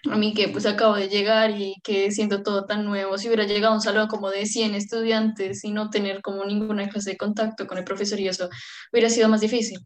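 A woman's voice: medium pitch, little pitch movement, quick pace. Woman. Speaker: student guest. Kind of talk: casual conversation.

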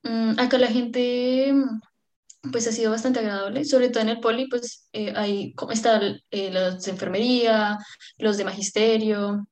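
A woman's voice: a little high, steady tone, a little slow, darkish tone. Woman. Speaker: student guest. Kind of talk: casual conversation.

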